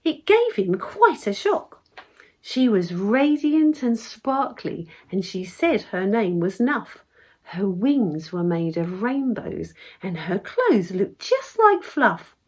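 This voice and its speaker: A woman with a southern English accent reading her own rhyming story in an expressive storybook manner. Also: medium pitch, slow pace, breathy edge. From Essex, England. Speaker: poet reading own work. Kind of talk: expressive storybook verse narration.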